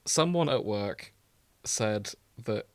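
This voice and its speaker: A man's voice: fairly low pitch, expressive, slow, no echo. A man co-hosting a casual chat show, talking in a teasing, conversational way. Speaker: podcast co-host.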